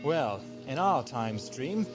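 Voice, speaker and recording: An actor playing an eccentric, conceited time traveller in an audio drama, wordy, pompous and drily witty. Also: male voice, medium pitch, very animated, slow. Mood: wry, pondering. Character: eccentric, conceited time-travelling male adventurer, wry and curious. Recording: 1980s amateur audio drama with loud music.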